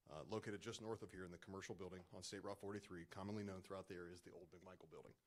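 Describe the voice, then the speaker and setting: A man addresses a city council from the podium, reading prepared remarks at a brisk clip. His voice sits a little low and carries loudly through the council chamber. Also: steady tone. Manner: formal, reads from prepared remarks, loud voice. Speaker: resident speaking at public comment. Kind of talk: formal prepared statement. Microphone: podium microphone, partly dead.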